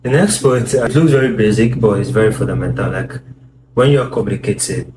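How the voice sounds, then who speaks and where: Deep-ish guy giving marriage advice, earnest, echoey room w/ some noise. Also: a little fast. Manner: earnest, repeats words, gently instructive. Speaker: co-host, husband. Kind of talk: casual talk on marriage advice.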